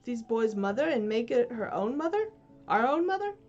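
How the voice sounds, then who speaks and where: A woman's voice: very animated, moderate pace, darkish tone, lo-fi sound, soft background music. A woman doing a fussing, bumbling pirate sidekick. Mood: eager, fussing. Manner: high, fussing, eager to please. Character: bumbling, eager male pirate boatswain. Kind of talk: storybook read-aloud.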